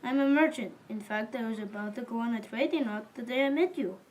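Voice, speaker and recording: Woman as a high-voiced, courteous biblical merchant. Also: brisk, natural voice, rough recording. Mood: friendly, curious. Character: helpful, courteous male merchant in biblical times. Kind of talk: amateur audio drama acting.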